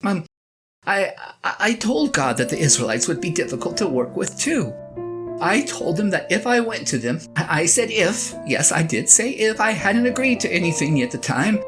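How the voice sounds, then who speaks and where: A woman's voice: fairly low pitch, sing-song, moderate pace. A woman playing a flustered, self-doubting shepherd husband in an audio drama, stammering earnestly as the character confides in a spouse. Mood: flustered, disbelieving. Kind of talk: one-sided audio drama monologue, conversational and comic. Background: plucked guitar music.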